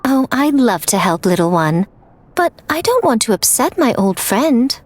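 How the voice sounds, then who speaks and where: A woman's voice: a little high, sing-song, unhurried, slight background noise. A woman voicing a sly, elegant, self-assured shrine priestess in a video game, with a coy, teasing, theatrical manner. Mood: knowing, coy.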